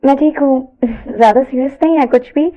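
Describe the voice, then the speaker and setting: A woman's voice: high voice, normal speed, narrow, tinny audio, close to an everyday voice. A woman voicing a bashful but spirited young college woman in an audio story, sprinkling in English words. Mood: reassuring. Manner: stammering when flustered, mixes in English words. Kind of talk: audio story character dialogue.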